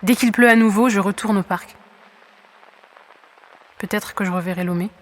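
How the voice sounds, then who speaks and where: A woman's voice: medium pitch, moderate pace, lightly acted. A woman acting as a discouraged young runner in a fiction podcast, speaking in a frank, colloquial and earnest way. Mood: reflective.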